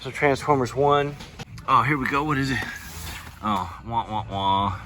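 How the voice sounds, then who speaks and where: A man's voice: mid-range voice, a little slow, pushed and bright, a little hiss or hum. A man hosting a vlog, talking in a casual, chatty way with slangy, laid-back fan enthusiasm. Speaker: YouTube host reviewing movies and toys.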